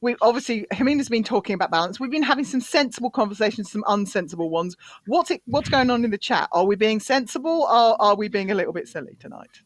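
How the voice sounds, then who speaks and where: Host, woman, quick chatty delivery with many restarts, dry close sound. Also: a little high, animated, no echo. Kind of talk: live online chat.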